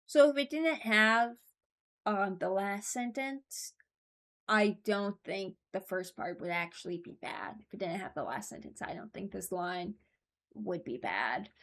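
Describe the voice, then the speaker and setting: A woman's voice: medium pitch, animated, a little slow, a bit bright. A woman hosting a casual reaction video, speaking informally and thinking aloud as she gives her opinions. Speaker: video host ranking game voice lines.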